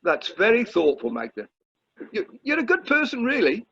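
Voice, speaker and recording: A pompous, flustered British club chairman acted by a man, with a very high male voice and a stammering, fussy way of talking. The radio play has muffled video call sound. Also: wildly swooping pitch, normal speed, fairly bright tone, slightly rough, heavily acted. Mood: soothing. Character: self-important, flustered drama society chairman. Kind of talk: amateur radio play acting.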